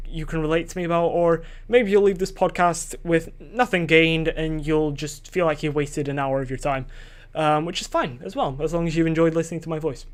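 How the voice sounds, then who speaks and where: Young adult male solo podcaster, slightly high voice; rambling, self-doubting delivery with casual swearing. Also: clear, resonant tone. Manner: rambling and self-questioning, loses his train of thought. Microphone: desk microphone.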